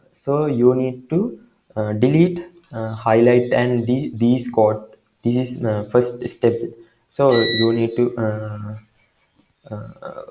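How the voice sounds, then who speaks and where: Give me a man with a Sri Lankan accent talking slowly with a warm, dark tone. He explains the steps haltingly, stopping often to restart, and the room around him is echoey. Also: medium pitch. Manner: hesitant, halting, many fillers and restarts. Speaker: tutorial maker. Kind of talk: screen-recorded software tutorial.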